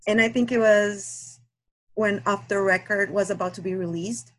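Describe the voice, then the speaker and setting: Woman, soft-spoken, halting, frequent pauses and fillers, casual podcast guest chat, mid-pitched voice. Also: steady tone, a little hiss or hum, low-quality recording. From Guatemala. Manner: hesitant, many fillers and restarts. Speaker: guest listener and aspiring romance author.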